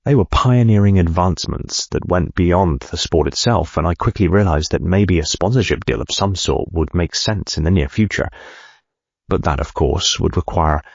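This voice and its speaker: A driven ceo dictating his memoir, super low husky voice, measured and thoughtful, bit muffled. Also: no room sound. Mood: reflective. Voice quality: gravelly, husky. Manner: reflective, measured, articulate. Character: driven male medical company CEO recounting his life. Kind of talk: first-person memoir narration.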